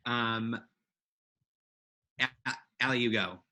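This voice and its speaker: A woman co-hosting a casual comedy podcast in a brisk, playful, jokey way. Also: rumbling low, sharp tone, slight background noise. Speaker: podcast co-host. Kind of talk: casual comedic chat among friends. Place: recorded remotely over a video call.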